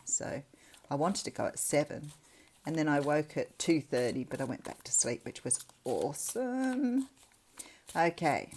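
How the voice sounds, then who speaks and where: Woman, Australian accent, low-pitched voice, casual tarot reader, conversational and reflective. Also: darkish tone, slight background noise. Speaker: tarot reader, channel host. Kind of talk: casual spoken tarot reading.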